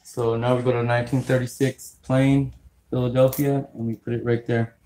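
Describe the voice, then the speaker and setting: A man from California hosting a casual livestream, talking slowly with many fillers as he greets viewers. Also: medium pitch, little pitch movement, mellow, poor audio. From California, United States. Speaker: livestream host. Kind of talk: casual livestream chat while sorting coins.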